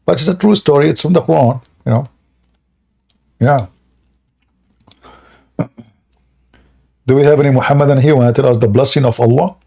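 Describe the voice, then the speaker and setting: A man's voice: mid-range voice, normal speed, gravelly, long pauses, mellow, slightly rough. A man hosting a live call-in debate show, mocking and joking as he spins tall tales. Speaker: host.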